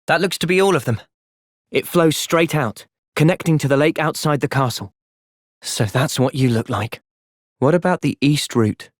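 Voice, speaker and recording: A man's voice: fairly high pitch, normal speed, no echo, clean studio sound, lightly acted. A man playing an earnest, thoughtful young soldier hero with a British accent in a video game. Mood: calm, resolute. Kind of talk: video game cutscene voice acting.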